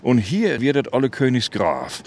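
A man from Rügen with a northern German Low German accent, chatting casually and explaining things, repeating himself as he goes. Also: big pitch swings, normal speed, darkish tone. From island of Rügen, northern Germany. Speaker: interview guest, writer. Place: outdoors on a windy clifftop. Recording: clearly noisy windy outdoor recording. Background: wind and outdoor ambience.

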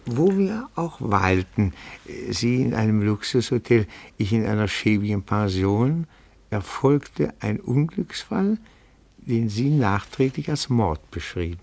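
An actor playing a timid, pedantic petty-bourgeois amateur detective in a radio play, speaking formally and deferentially with meticulous phrasing. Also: male voice, lowish voice, big pitch swings, slow, creaky, darkish tone, no room sound, full character voice.

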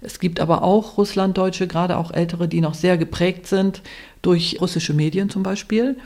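A woman district pastor answering interview questions in a calm, deliberate way. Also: fairly low pitch.

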